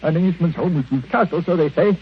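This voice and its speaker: An actor playing a bitter, self-pitying elderly husband in a querulous, theatrical 1940s radio drama style. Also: male voice, a little high, fast talker, natural voice. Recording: muffled, noisy vintage radio recording.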